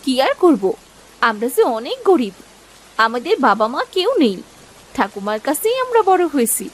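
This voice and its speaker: A woman's voice: high-pitched, very animated, moderate pace, breathy edge, exaggerated voice, rough recording. A woman voicing a caring, timid young village girl in a cartoon story, childlike and earnest. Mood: earnest, plaintive. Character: caring, timid young village sisters, poor orphan girls. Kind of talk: Bengali cartoon story acting.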